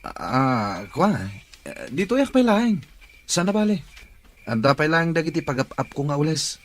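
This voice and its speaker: A man plays an easygoing young cousin in a radio drama, his mid-pitched voice swinging up and down a lot. He talks in a relaxed, coaxing way. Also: a little slow, slight background noise.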